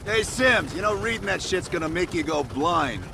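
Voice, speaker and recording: A wry, clipped spy boss. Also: male voice, sits high, expressive, moderate pace, creaky, background noise. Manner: dry, clipped, sardonic. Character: cool, wry male CIA operations leader. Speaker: CIA team leader. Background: film score.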